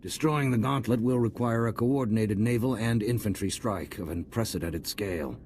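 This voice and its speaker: A grave supreme commander in a video game, voiced by a man, with a lowish voice. He speaks formally and with measure over faint background ambience. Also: moderate pace, a little mellow, a little hiss or hum, lightly acted. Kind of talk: video game dialogue acting.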